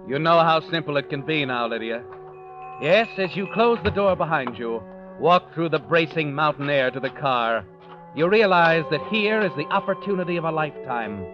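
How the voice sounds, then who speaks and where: A muffled vintage radio recording with clear music under it. An actor plays a male suspense narrator, knowing and sinister, slipping insinuations to the listener in a slightly raised pitch. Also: animated, moderate pace, lightly acted. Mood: knowing, ominous. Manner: insinuating second-person address.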